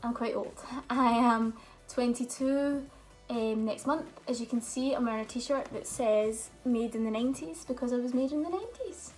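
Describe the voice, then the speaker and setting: A woman's voice: fairly high pitch, normal speed, a little mellow, soft background music, poor audio. A young woman, chatting openly and telling stories in a casual question-and-answer vlog. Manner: chatty, open storytelling with fillers. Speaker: vlogger.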